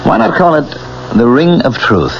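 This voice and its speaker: An actor playing an eager, blunt young fiancé with a self-deprecating streak, in 1970s radio drama style. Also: male voice, a little high, very animated, normal speed, creaky. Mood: tactful, debating. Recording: muffled, noisy 1970s radio broadcast.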